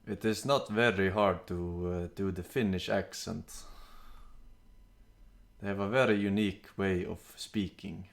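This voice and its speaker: A man's voice: low voice, a little slow. A young man, streaming and chatting casually, rambling and reading out chat messages. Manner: relaxed, rambling, with fillers and some swearing.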